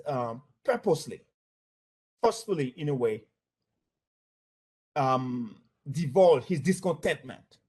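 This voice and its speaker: A man with a Haitian accent recounts personal experiences as a former employee in an unscripted way. His voice sits a little high for a man. He speaks haltingly, often doubling back on words and phrases. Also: a little mellow, slightly rough. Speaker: former bank employee giving testimony. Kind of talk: spontaneous spoken account of workplace incidents.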